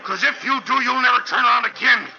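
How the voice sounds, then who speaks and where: An actor playing a bitter, defiant outlaw prisoner in a 1950s radio western, with a rural American twang and a taunting, emotional manner. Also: male voice, extremely high pitch, fast talker, vocal fry. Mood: taunting, menacing. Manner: taunting, emotional, rustic speech. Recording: dull vintage 1950s radio recording.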